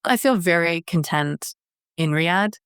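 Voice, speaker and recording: A woman's voice: lowish voice, slow, a bit bright, clean tone, no room sound, clean studio sound. A middle-aged woman from Canada, a guest in an interview, talking earnestly and often restarting her sentences. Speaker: guest, wellness strategy director. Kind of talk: conversational interview.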